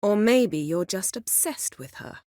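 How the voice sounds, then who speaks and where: A woman voicing a proud, sharp-tongued sorceress in a video game, speaking in a cool, measured, sardonic and commanding manner. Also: mid-range voice, animated, no room sound, studio quality, natural voice. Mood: dry, sardonic.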